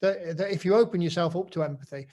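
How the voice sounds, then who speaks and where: A middle-aged man with a Nottingham accent interviewing in a relaxed conversation, pausing often with ums and restarts. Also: high voice. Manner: halting, full of ums and restarts. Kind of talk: casual conversation.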